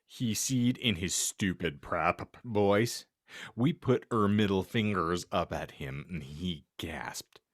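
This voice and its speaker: Deep-voiced man reading aloud theatrically. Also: slow pace, no room sound, clean studio sound. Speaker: podcast host. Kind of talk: comedic dramatic reading of fan fiction with banter.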